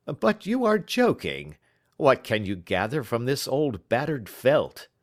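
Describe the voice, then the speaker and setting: A man voicing a modest, puzzled gentleman in an audiobook, politely questioning his clever friend. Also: mid-range voice, very animated, takes it slowly, pitched above the performer's own voice. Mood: curious, incredulous. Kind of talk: audiobook character dialogue.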